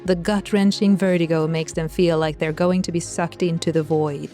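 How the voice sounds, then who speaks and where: A woman with a fairly low voice narrates a scripted audio drama. Her storytelling is wry, and music plays softly behind her. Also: little pitch movement, moderate pace.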